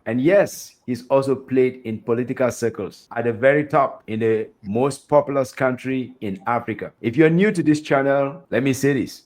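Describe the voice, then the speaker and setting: A man's voice: mid-range voice, darkish tone. A man from Cameroon hosting an interview podcast over a video call, speaking with rhetorical flair and affirming his guest often. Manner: enthusiastic, rhetorical, affirming with frequent mm-hmms. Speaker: podcast host.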